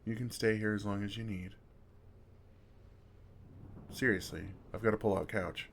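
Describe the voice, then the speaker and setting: Kind older male vampire, acted by a man for comfort ASMR: deep, soft-spoken, gently teasing, faint noise behind. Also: steady tone, moderate pace, creaky. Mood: warm, lightly joking. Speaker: ASMR roleplay performer. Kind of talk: intimate ASMR roleplay, second-person comforting.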